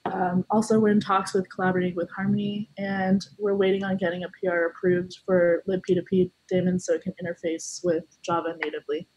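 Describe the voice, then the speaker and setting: A woman's voice: on the low side, level tone, brisk, hardly any pauses, darkish tone, breathy edge, low-quality recording. A woman who is a little sick, giving a developer team update on a video call. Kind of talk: status report on a video call.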